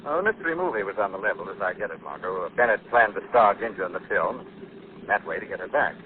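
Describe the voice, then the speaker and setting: An assured gentleman detective, knowing and composed. His low voice moves with lively inflection in 1940s radio drama fashion. It comes through a tinny old recording. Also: fast, slight background noise. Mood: calm, explaining.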